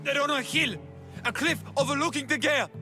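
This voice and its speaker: An actor playing a sly, smirking, cowardly informant in a video game, with a taunting, theatrical manner. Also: male voice, very high voice, fairly quick, pushed and bright, faint music behind. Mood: pleading, terrified.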